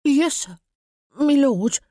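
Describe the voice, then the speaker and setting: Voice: expressive, slow, warm tone, no echo, clean studio sound. A man voicing a frightened young boy servant who pleads and nearly cries, in a storybook reading. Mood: pleading, meek. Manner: high, pleading, on the verge of tears.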